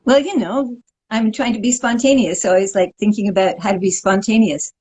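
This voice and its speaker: A woman's voice: mid-range voice, steady tone, brisk, a little mellow, breathy edge. A woman in her sixties, from Montreal, answers interview questions in a dry, wry, thoughtful way. She speaks over a video call from her living room. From Montreal, Canada. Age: 60s. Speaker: guest. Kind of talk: casual interview.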